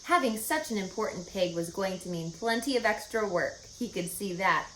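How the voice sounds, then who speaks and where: A woman reading a children's story aloud in an expressive, emphatic and explanatory way. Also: medium pitch, normal speed. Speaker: storybook reader. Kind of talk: animated children's read-aloud narration. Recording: roomy echo with faint background noise.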